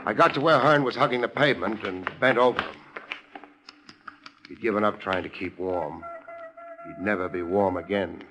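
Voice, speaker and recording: A hard-boiled American private detective played by a man from a vintage radio noir show. His voice is lowish and his delivery dry, sardonic and laconic, with music playing clearly underneath. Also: moderate pace, pauses often, natural voice, low-quality recording. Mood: grim, wry. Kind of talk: 1950s radio noir acting and narration.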